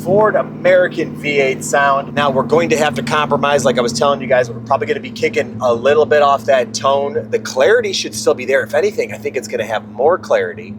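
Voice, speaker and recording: A man's voice: mid-range voice, fast, few pauses. A man giving car vlog commentary from inside a moving truck, as an exhaust shop presenter. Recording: loud vehicle and engine noise. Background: engine, exhaust and road noise.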